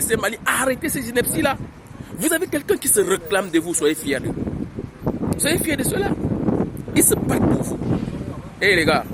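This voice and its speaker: Impassioned man in outdoor street noise. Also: high-pitched. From Cameroon. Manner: impassioned, exhorting, repeats phrases. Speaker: YouTuber. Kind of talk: political vlog monologue.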